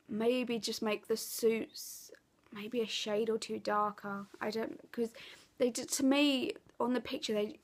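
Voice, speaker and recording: A woman with an Essex accent and a blocked, stuffy nose, chatting casually to camera as a craft vlogger, rambling with lots of ums and self-corrections. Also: on the high side. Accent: Essex English. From England.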